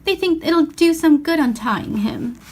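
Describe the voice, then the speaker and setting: Woman as a high-voiced, tender young girl. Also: expressive, measured pace, darkish tone, slight background noise. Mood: earnest pity. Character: tender, sorrowful young girl in a fairy tale.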